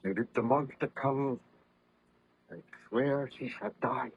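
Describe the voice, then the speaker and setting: An actor playing an anxious, haunted baron and protective husband, earnest and formal in old radio drama style. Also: male voice, medium pitch, slow pace, breathy edge, lo-fi sound. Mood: worried, earnest. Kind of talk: 1930s radio drama acting.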